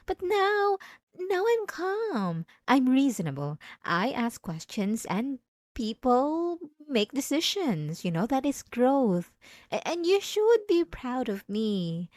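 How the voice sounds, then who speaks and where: A woman playing a possessive, obsessive wife in an intimate ASMR roleplay, pleading and defensive, coaxing with halting, stammered self-corrections. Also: a little high, very animated, a little mellow, no room sound, studio quality. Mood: pleading, self-justifying. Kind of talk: ASMR roleplay drama, intimate monologue to listener.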